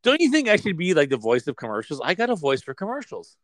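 Man, casual podcast co-host, animated pitch, jokey ad-announcer bits. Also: fast. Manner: playful, slips into a mock ad-announcer voice. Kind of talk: casual chat.

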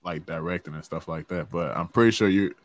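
A man's voice: sits low, a little fast. A man hosting a podcast, talking in a laid-back way with lots of laughter, slang and swearing. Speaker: podcast host. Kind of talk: casual podcast chat.

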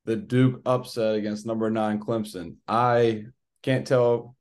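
A hoarse man in his twenties hosting a casual sports podcast, talking in an excited, rambling way. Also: fairly low pitch, slow. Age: 20s. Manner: excited, rambling fan talk. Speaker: podcast host.

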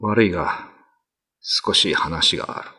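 A man's voice: low-pitched, slow, pushed and bright, faint music behind, heavily acted. A man playing a betrayed young husband, scornful and accusing, in a radio drama. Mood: grave. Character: betrayed husband, young man, furious and disgusted by monster wife.

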